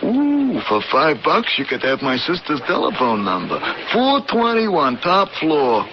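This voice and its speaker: High-pitched wisecracking wiseguy, old radio. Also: male voice, huge pitch swings, measured pace, heavily acted. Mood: sly, offhand. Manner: wisecracking, slangy, offhand. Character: cheeky, mercenary male counterman, streetwise wiseguy. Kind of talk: radio drama character acting. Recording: dull, noisy old radio broadcast.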